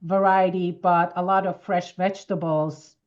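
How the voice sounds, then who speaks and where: Armenian-accented woman, low voice. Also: fairly even intonation, unhurried, highs cut off. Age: 50s. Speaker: wellness expert guest. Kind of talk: casual interview answers.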